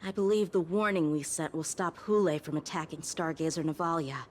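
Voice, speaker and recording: A woman's voice: low-pitched, fairly quick, darkish tone, close to an everyday voice. Lady doing a young warrior for a game, earnest n respectful, bit of background noise. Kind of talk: video game voice acting.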